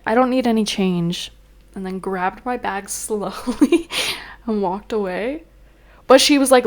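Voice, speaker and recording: A woman's voice: medium pitch, darkish tone. Congested young woman venting. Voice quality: rambling, frequent fillers. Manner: chatty, venting, exasperated. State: congested, sniffling. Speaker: vlogger. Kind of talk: casual chat.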